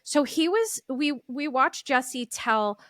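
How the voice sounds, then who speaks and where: A woman co-hosting a podcast in casual chat, with a loud voice and an animated, opinionated way of talking. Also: high-pitched, slow pace, no echo, clean studio sound. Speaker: podcast co-host.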